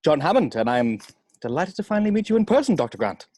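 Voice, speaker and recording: A man's voice: fairly high pitch, sing-song, fast talker, darkish tone. A man playing a charming, brash seventy-year-old tycoon in a script table read, with a jovial, showy delivery.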